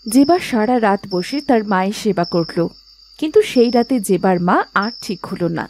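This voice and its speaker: A woman's voice: mid-range voice, expressive, moderate pace, darkish tone, a little hiss or hum. A woman giving scripted narration for a story. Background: music.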